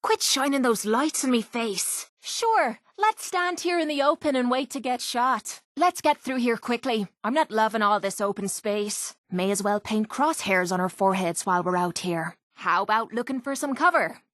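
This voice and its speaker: A young female wasteland fighter from a video game, with an Irish accent and a fairly high voice. She is blunt and salty, with a wry, sarcastic edge. The recording is close and dry. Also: animated, moderate pace, hardly any pauses, a bit bright, no room sound. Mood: annoyed, disgusted. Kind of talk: video game character voice lines.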